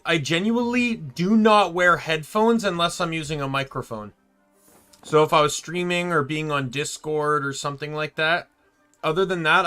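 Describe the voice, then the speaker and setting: A man's voice: a little high, bright, forceful tone. A man streaming a game from his room, chatting casually and rambling, often restarting his sentences. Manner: rambling, self-correcting. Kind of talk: casual gameplay chat. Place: home room.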